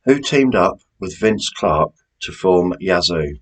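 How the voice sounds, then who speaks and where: A man's voice: low voice, expressive, slow pace. A British man presenting a quiz in a chatty, informal way, as the host reading out questions.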